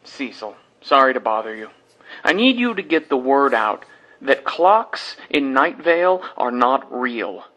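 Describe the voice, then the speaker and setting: An earnest, puzzled scientist in an audio drama. His voice is bright and sits at an ordinary male pitch. He speaks in a matter-of-fact, slightly apologetic way, as if leaving a voicemail. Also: sing-song, measured pace, a little hiss or hum, poor audio. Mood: urgent, puzzled. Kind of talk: voicemail monologue in a fiction podcast.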